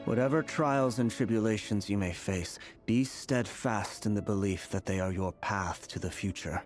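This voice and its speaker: An actor playing a grave, mysterious, weary prisoner in a video game, speaking solemnly and deliberately. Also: male voice, fairly low pitch, faint music behind, natural voice. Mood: solemn, warning. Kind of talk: scripted video game dialogue.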